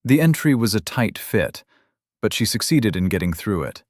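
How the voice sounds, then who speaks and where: A male narrator with a deep, low voice reads a fantasy novel in steady, even scripted narration. The recording is close and dry, with no room sound. It has the polished feel of a studio audiobook. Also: moderate pace, no room sound.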